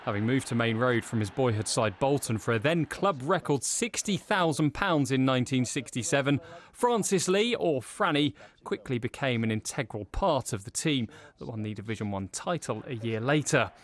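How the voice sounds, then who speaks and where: A man's voice: mid-range voice, expressive, normal speed, few pauses. A man reading a scripted news obituary package as a sports reporter.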